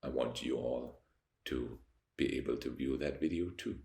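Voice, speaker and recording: Young Austrian man, deep gravelly voice, German accent, slow reflective to-camera chat in an echoey apartment. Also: mellow. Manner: slow, reflective, pausing often to search for words. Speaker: vlogger. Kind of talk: unscripted talking to camera. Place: high-rise apartment by a window.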